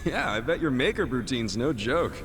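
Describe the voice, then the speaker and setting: A man acting a brash, cheeky, excitable street hero in an English video game dub, talking in a blunt, slangy way. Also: mid-range voice, normal speed, a little hiss or hum. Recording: music behind the voice.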